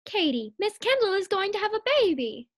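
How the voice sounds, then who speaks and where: A woman's voice: extremely high pitch, sing-song, a little fast. A woman acting a scheming, cheerful, scatterbrained housewife in a 1940s-style radio sitcom. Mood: chirpy.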